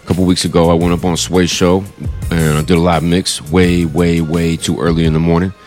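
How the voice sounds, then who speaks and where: Deep-voiced, laid-back male DJ. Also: brisk, faint music behind. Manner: relaxed, rambling explanation. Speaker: radio DJ and co-host.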